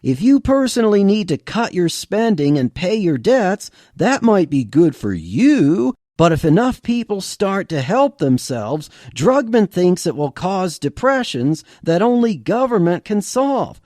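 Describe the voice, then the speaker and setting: A man's voice: high voice, very animated, a little mellow, no echo, clean studio sound. A man voicing a patient, explaining know-it-all. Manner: explanatory, measured.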